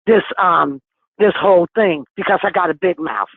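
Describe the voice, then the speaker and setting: A woman in her sixties calling in to a conference call, talking forcefully and insistently. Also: fairly low pitch, moderate pace, slightly rough, no room sound. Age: 60s. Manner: forceful, insistent, talks over others. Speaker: caller on an activist conference call. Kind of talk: impassioned phone call. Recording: tinny phone line.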